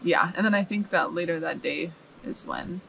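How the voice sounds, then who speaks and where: Young american woman, reflective, slow and thoughtful, light outdoor noise. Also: fairly low pitch, vocal fry, a bit bright, low-quality recording. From United States. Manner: reflective, searching for words. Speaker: interview guest. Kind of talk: casual interview chat. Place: outdoors in a rural yard. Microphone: camera mic, seated mid shot.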